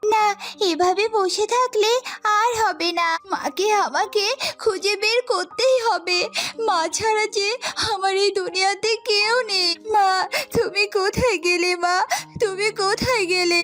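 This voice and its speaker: A woman's voice: very high-pitched, a little slow, a bit bright, soft background music. A woman voicing a small young bird child in a cartoon, plaintive and pleading, tearful yet brave. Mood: worried, then crying, distressed. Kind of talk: Bengali children's cartoon voice acting.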